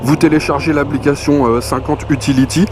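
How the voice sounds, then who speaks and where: Higher-pitched man, casual reviewer, music behind. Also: steady tone, fairly quick. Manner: colloquial, conversational, punctuated with slang and spoken sound effects. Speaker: motorcycle gear reviewer, presenter. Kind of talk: casual spoken product review. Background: clear background music.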